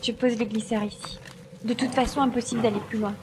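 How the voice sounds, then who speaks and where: A woman's voice: fairly high pitch, steady tone, fast talker, very noisy, close to an everyday voice. A woman playing a determined, commanding glider pilot in a French audio drama. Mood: urgent. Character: determined female glider pilot searching for her babies.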